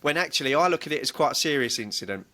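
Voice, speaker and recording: A man's voice: a little high, expressive, racing, pushed and bright. A man co-hosting a podcast, bantering casually with a blunt, rambling way of talking and the odd swear word. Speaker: podcast co-host.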